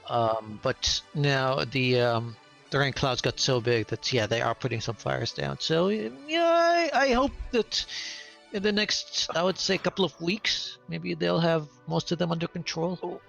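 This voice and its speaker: A man with a gravelly, creaky voice talks earnestly in a group chat, pausing often and filling the gaps with um and like. Faint music hums behind him. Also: on the high side, expressive, a bit bright, low-quality recording. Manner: earnest, hesitant, many fillers.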